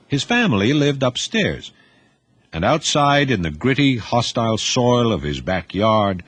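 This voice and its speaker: A man narrating an audio drama in expressive, scripted storytelling. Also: lowish voice, husky.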